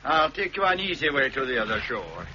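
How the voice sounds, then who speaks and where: An actor playing a calm, plain-spoken Hebridean islander in a 1960s radio drama, muffled old broadcast with hiss. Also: male voice, mid-range voice. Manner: calm, terse, measured.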